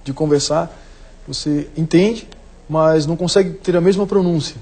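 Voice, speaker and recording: Male businessman guest, soft dark tone, halting speech. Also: on the high side. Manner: hesitant, halting. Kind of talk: studio interview.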